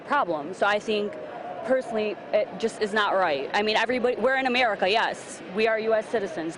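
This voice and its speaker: Earnest young woman from Lebanon at a rally. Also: mid-range voice. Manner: earnest, indignant, measured. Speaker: passer-by being interviewed. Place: outdoors at a street rally. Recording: crowd noise of an outdoor rally.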